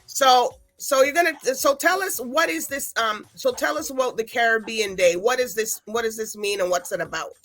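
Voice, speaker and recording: A woman's voice: fairly high pitch, moderate pace, hardly any pauses, fairly bright tone. A woman hosting a talk show, chatting loosely and rambling between segments. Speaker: show host.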